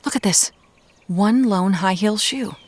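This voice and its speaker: An actress playing a determined, blunt amateur detective in an audio drama, matter-of-fact and wry. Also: female voice, medium pitch, measured pace, breathy edge. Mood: wry, focused.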